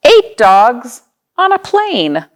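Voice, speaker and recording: Animated, unhurried woman reading to young children. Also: a little high. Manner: animated, simple explanations with frequent questions to young listeners. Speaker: children's librarian hosting storytime. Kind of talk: read-aloud storytime for young children, with questions and counting.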